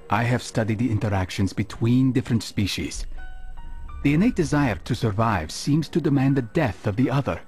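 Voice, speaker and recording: A man's voice: medium pitch, darkish tone, lightly acted. Grave, resolute assassin played by a man, music behind. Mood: brooding, reflective. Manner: measured, serious, formal phrasing. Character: grave, resolute young male medieval assassin, cool and philosophical. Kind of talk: video game cutscene voice acting.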